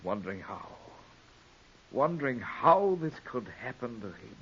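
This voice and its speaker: An actor playing a guilt-ridden, weak Englishman with halting, emotional delivery in a 1940s radio drama. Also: medium pitch, very animated, fairly bright tone, breathy edge, heavily acted. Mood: anguished. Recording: dull, hissy 1940s radio recording.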